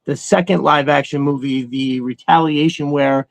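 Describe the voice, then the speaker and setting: A man's voice: a little high, steady tone, moderate pace, gravelly, no echo. A man chatting casually and emphatically as a podcast co-host, swearing freely and rambling through his opinions. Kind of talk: casual opinionated fan chat.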